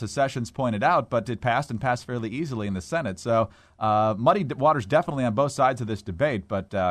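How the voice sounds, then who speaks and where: An American man co-hosting a political talk show, giving measured, matter-of-fact commentary in a conversational way. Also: medium pitch, dry recording. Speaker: podcast co-host.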